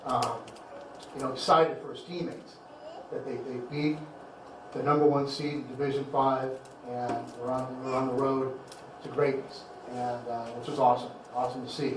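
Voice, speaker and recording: Emotional coach in an echoey hall. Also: male voice, mid-range voice, level tone. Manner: halting, heartfelt, repeats words. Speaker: high school football coach. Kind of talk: banquet speech. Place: banquet hall. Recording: echoey hall, distant mic, faint noise.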